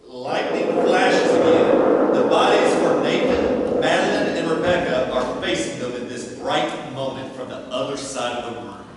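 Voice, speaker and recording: A man's voice: mid-range voice, measured pace. A man reading out stage directions as scripted narration at a table reading of a play. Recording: echoey hall from far off, clear noise.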